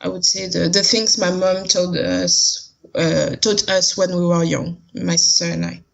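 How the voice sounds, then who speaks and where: A woman's voice: lowish voice, steady tone, unhurried, gravelly. A woman from Guadeloupe with a French accent, answering interview questions casually and searching for her words. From Guadeloupe, French West Indies. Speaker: interview guest. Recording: dull lo-fi sound.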